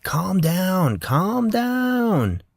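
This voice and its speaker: A man's voice: sits high, very animated, drawn-out words, vocal fry, no room sound, clean studio sound, voice pushed up, full character voice. A man voicing a skeptical fourteen-year-old boy in an audiobook, blunt, questioning and easily exasperated. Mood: dismissive.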